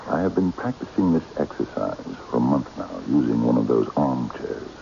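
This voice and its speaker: A mysterious, courtly eccentric in a radio mystery play, his voice cavernously deep and dark in tone. He speaks deliberately and hypnotically, with a gravelly edge, giving polite but firm commands. The recording is dull and noisy, like old radio. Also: very animated, exaggerated voice. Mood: intent, hypnotic.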